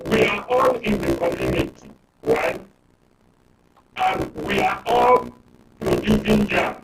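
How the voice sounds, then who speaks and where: A Nigerian man speaks as an expert guest in a live discussion, with a creaky, gravelly voice. He explains emphatically, step by step, checking that listeners follow. The sound is roomy and distant, with noticeable noise. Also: very deep voice, completely flat delivery, slow. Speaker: panel guest, expert commentator. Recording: roomy, distant mic, clear noise and clicks.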